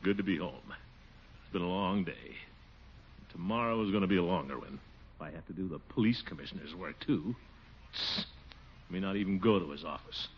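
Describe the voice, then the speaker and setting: An actor playing a pompous, blustering middle-aged city commissioner and uncle, with an American accent, in 1940s radio comedy style. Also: male voice, low-pitched, animated, brisk, long pauses. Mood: grumbling, put-upon. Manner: blustering, swooping, theatrical exclamations. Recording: muffled, noisy 1940s radio recording.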